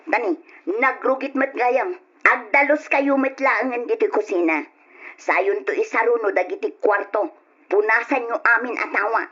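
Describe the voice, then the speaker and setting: Stingy, domineering aunt, high voice, sharp orders, Ilocano accent, old radio drama on dull lo-fi audio. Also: female voice, normal speed, slightly rough. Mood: bossy, scolding. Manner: sharp, commanding. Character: bossy, stingy aunt scolding her young wards.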